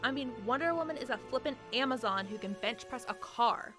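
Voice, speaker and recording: A woman's voice: on the high side, expressive, fairly quick, over music, natural voice. A woman playing a blunt, callous supervillain in a comedic radio play, dry and sarcastic. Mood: annoyed, sarcastic.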